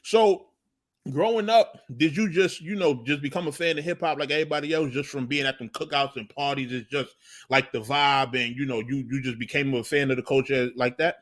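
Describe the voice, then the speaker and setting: A man's voice: medium pitch, steady tone, a little fast, fairly bright tone. A man from Harlem with a New York accent, hosting a casual interview and asking questions in an easygoing way. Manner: laid-back, asks questions, peppers lines with "you feel me". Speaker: podcast host.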